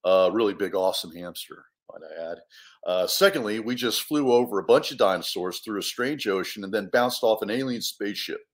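A man's voice: lowish voice, expressive, brisk, pitched below the performer's own voice, lightly acted. A man voicing a huge, goofy, boastful talking bear who was a pet hamster, using laid-back dude slang for a children's storybook. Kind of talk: animated children's storybook read-aloud.

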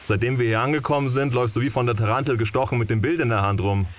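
A man playing a guarded, gruff guide in an audio drama, with a casual, brusque manner. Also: mid-range voice, very fast, slight background noise, close to an everyday voice. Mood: mildly exasperated.

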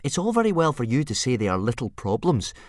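A man's voice: lowish voice, animated, normal speed, dry recording, studio quality, pitched above the performer's own voice, natural voice. A man voicing a gloomy, put-upon young Viking boy who talks in a sulky, complaining way. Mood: cross.

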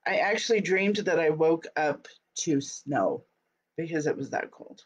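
A woman in her thirties hosting a casual live video from her home office, sounding stuffy and scratchy from a cold, chatty and quick to laugh. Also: deep voice, slightly rough. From Utah. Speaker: host. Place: home office, small room indoors. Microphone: webcam at desk.

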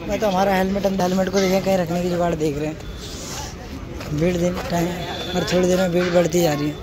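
A man's voice: sits high, flat delivery, quick pace, background noise. A young man chatting casually as he vlogs his trip to a stadium, recorded outdoors on a phone. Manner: chatty, keeps calling viewers brother. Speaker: vlogger. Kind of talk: casual vlog narration. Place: outdoors on city roads and at a stadium.

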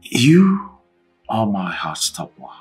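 An actor playing a doting husband with a volatile temper in a film drama. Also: male voice, lowish voice, wildly swooping pitch, takes it slowly, slightly rough, heavily acted. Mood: tender, romantic. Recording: music behind the voice.

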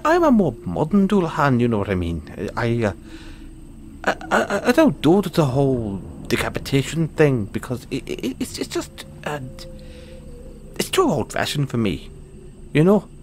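A man's voice: a little high, slight background noise, heavily acted. A man with a British accent playing a cocky, foul-mouthed, stammering Dullahan social media wannabe in a comedic audio roleplay. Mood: nervous, backpedalling. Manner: stammering, sweary, boastful.

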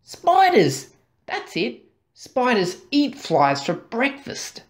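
A woman voicing a curious, excitable and easily alarmed young boy in a storybook reading. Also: fairly high pitch, takes it slowly, pitched above the performer's own voice, heavily acted. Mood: alarmed, excited. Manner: gasping, exclaiming.

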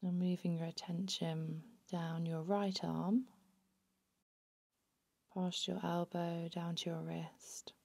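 A woman narrating a guided body scan meditation in a soothing, unhurried, hushed manner. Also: on the low side, little pitch movement, gravelly.

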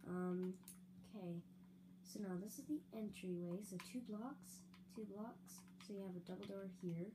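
A young woman casually narrating a building game with giggles and fillers, her voice a little raspy. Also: mid-range voice, normal speed. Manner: chatty, giggly, rambling with fillers. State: slightly raspy, throat-clearing. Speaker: gaming video creator. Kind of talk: casual let's-play building commentary. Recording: audible hum behind voice.

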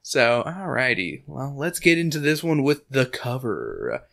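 A slightly hoarse man with a bright, edgy tone hosts a casual book podcast. He rambles, leans on fillers and laughs often, close on a desk microphone at home. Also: medium pitch, big pitch swings, measured pace. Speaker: podcast host. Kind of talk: casual chat and book recap. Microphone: desk condenser mic.

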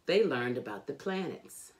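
A middle-aged woman reading a children's book aloud in an expressive, deliberate, emphatic storytelling manner. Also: extremely low pitch. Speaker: storybook reader. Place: indoors, furnished home room.

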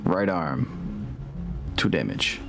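Low-voiced man running a tabletop game. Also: very animated, drawn-out words, creaky. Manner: thinking aloud, narrating dice results. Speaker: game master. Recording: music behind.

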